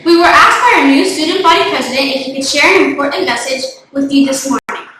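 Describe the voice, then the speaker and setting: A woman reading school morning announcements in a measured way. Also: high voice, bright, forceful tone, slight background noise. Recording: very echoey room.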